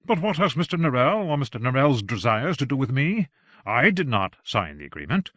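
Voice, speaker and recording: A man voicing an anxious, mild-mannered gentleman schoolmaster in an audiobook, protesting politely with flustered indignation. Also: mid-range voice, very animated, fairly quick, dry recording, clean studio sound, pitched above the performer's own voice. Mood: flustered, indignant.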